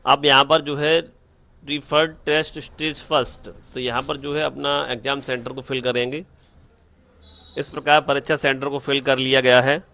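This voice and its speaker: A man's voice: mid-range voice, level tone, normal speed, creaky, sharp tone. A man narrates a step-by-step screen tutorial in an instructional way, mixing English terms into his Hindi and often checking in with an okay. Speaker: online tutorial presenter.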